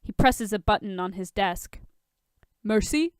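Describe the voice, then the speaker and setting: A woman reading fan fiction aloud as an expressive, scripted audiobook narration. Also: medium pitch, normal speed, clear, resonant tone, no echo.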